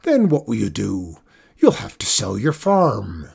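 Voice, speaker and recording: Storyteller playing a loud, angry, gloomy farmer. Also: mid-range voice, huge pitch swings, unhurried, vocal fry, breathy edge, full character voice. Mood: alarmed. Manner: shouting, forceful, alarmist. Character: angry gloomy shouting farmer predicting disaster.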